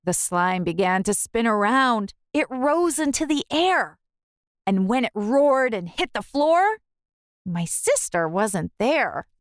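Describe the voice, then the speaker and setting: A woman narrating a rhyming children's picture book in a theatrical, expressive storytelling manner. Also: fairly high pitch, takes it slowly, no room sound. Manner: theatrical, storytelling delivery with emphatic rhyme.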